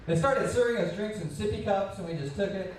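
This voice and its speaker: A man's voice: high voice, fairly quick, darkish tone, slight background noise. A man doing stand-up on a small comedy club stage, teasing and bantering combatively with an audience member. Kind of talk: stand-up crowd work. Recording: echoey club, recorded from far off.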